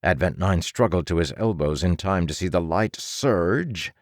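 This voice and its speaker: Deep, dramatic male audiobook narrator. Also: animated, measured pace, no echo, clean studio sound.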